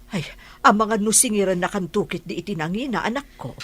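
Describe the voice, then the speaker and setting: A woman's voice: medium pitch, animated, fairly quick, fairly bright tone, slightly rough. A woman with a Filipino accent playing a concerned, practical mother in a radio drama. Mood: worried, probing. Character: concerned, practical mother advising her grown child.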